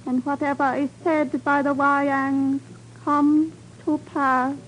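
Mystic high priestess: high voice, very slow solemn prophecy, old radio drama. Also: female voice, flat delivery. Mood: solemn, prophetic. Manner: slow, incantatory, formal pronouncements. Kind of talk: 1950s radio drama acting. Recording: faintly noisy old radio recording.

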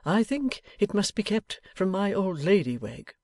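A man voicing a meek, humbled older husband in a storybook, speaking in a slow, sad, gently pleading manner. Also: high-pitched, very animated, no room sound, clean studio sound, voice pushed up. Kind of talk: dramatised audiobook character voice.

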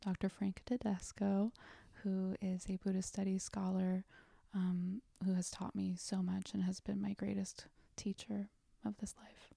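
A young woman from South Korea, speaking as an interview guest in a soft, thoughtful way with frequent pauses. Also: medium pitch, completely flat delivery, creaky, dry recording, clean studio sound. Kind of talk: reflective interview talk.

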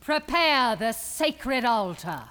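A woman's voice: a little high, big pitch swings, takes it slowly, a loud crowd, very noisy. An actress playing a cold, commanding female cult leader in a radio drama, solemn and declamatory in ceremonial speech.

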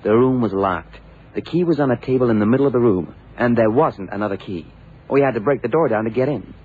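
An actor playing a plain-spoken, excitable, puzzled sidekick in an old radio drama, colloquial and forever protesting. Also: male voice, mid-range voice, fast, husky, lightly acted. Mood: puzzled reasoning. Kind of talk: 1970s radio drama acting. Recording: tinny, noisy old radio recording.

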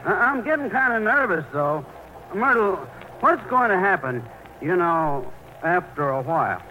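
An actor playing a timid, nervous, stammering groom in a 1940s radio comedy. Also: male voice, high-pitched, big pitch swings, measured pace, pushed and bright, full character voice. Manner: stammering, hesitant, sheepish chuckles. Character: timid, nervous, bashful grown man Homer, the groom. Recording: noisy, hissy 1940s radio recording.

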